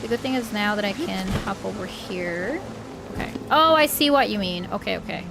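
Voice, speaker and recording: Woman; talkative streamer narrating her own play over loud game music. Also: medium pitch, moderate pace, creaky. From Mexico. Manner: chatty, thinks aloud while playing, thanks viewers. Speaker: live streamer. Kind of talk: casual live gameplay stream chat. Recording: game music playing behind the voice. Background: video game music.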